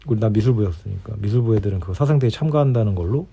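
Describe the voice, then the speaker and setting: A man's voice: fairly low pitch, animated, racing, creaky, warm tone, slightly rough. A middle-aged man chatting casually in a rambling, easygoing way, like a podcast host talking before bed. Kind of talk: casual bedtime chat between spouses. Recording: dull lo-fi home recording.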